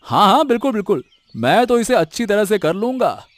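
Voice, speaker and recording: A man's voice: high-pitched, sing-song, brisk, no room sound. A man voicing a scheming, fawning village troublemaker in a cartoon moral story, with a wheedling manner. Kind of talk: animated moral story dialogue in Hindi. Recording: music behind the voice.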